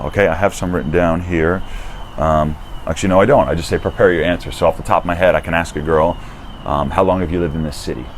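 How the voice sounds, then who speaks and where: A young man with a deep voice giving casual, energetic dating advice, swearing freely and dropping "dude" and "right?". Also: slight background noise. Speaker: dating coach. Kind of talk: casual direct-to-camera advice.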